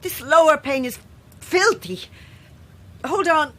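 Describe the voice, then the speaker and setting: A woman's voice: sits high, expressive, slow, fairly bright tone, exaggerated voice. A woman playing a sceptical, guilt-ridden novelist in an audio horror drama. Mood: agitated, straining.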